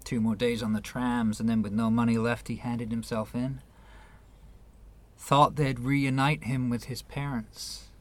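An actor in a radio play voicing a guarded, wounded New York man with a measured, wry delivery. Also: mid-range voice, level tone, close to an everyday voice. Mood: somber, restrained.

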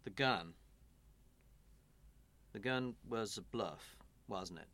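An actor in a radio play playing a smooth-talking evangelical pastor with a persuasive, salesman-like manner and an uneasy conscience. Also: lowish voice, slow pace, a bit bright. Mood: uneasy, subdued. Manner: stammering, salesman-like persuasion, swelling into preaching. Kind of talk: radio drama acting.

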